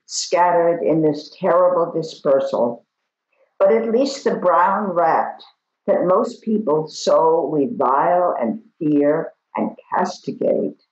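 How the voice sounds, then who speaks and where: A woman reading poetry aloud in a slow recitation, recorded in an echoey room with dull audio. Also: low-pitched. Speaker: poetry reader.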